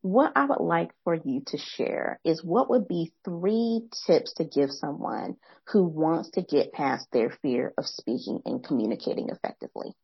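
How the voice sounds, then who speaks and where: Young woman podcast host, soothing husky voice, fast and eager, rephrasing often. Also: no room sound, highs cut off. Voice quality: soft, soothing low voice. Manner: fast, excited talker who pauses to rephrase. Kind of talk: reflective interview commentary.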